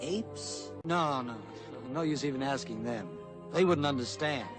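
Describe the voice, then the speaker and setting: An actor voicing a debonair, smooth-talking male stray dog in a 1950s animated film, with playful salesman patter. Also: mid-range voice, unhurried, natural voice. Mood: wry. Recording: film clip with music behind.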